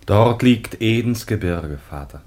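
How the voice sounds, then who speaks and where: Low, husky grieving son. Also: male voice, expressive, a little slow, a little mellow. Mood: sorrowful reporting. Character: devoted grown son, grieving, gentle, dutiful. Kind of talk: solemn classical radio drama acting.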